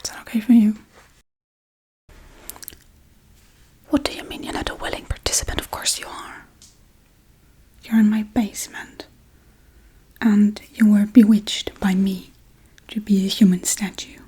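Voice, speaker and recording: A woman playing a scatterbrained, bossy witch in a whispered ASMR role-play, with breathy, casual, deadpan delivery. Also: medium pitch, normal speed. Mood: matter-of-fact. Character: scatterbrained, bossy Halloween witch tending her captive.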